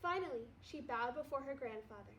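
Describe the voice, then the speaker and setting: Casting: female second grade teacher, high-pitched, storybook read-aloud with noticeable background noise. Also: expressive, fairly quick, a bit bright.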